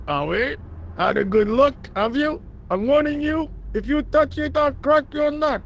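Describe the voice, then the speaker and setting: Game worker: blustering, scornful labourer, high creaky voice, music in the background. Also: male voice, animated, unhurried, lo-fi sound, a little hiss or hum, exaggerated voice. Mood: threatening. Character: cocky, gruff, work-shy Parisian workman. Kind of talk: video game dialogue acting.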